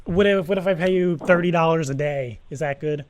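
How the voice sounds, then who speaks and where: A man playing an earnest, anxious divorced dad in an improvised prank phone call, rambling and repeating himself haltingly. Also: fairly high pitch, no echo, close to an everyday voice. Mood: earnest, explaining. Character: earnest, anxious divorced dad seeking a teddy-bear sitter. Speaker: prank caller.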